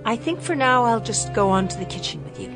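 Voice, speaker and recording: An actress playing a restless, sheltered young daughter who speaks earnestly in a radio drama. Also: female voice, mid-range voice, big pitch swings, a little fast, vocal fry, music in the background.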